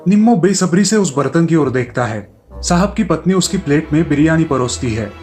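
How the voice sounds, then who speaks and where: A man narrates a scripted children's tale as the storyteller. His voice sits a little high for a man. Light music runs behind him. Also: lively intonation, fairly quick, darkish tone.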